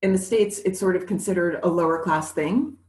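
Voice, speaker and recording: A woman's voice: lowish voice, brisk. Candid New woman, roomy echo. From New York City. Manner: candid, animated, laughs easily. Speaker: guest. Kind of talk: conversational interview. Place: living in Montreal.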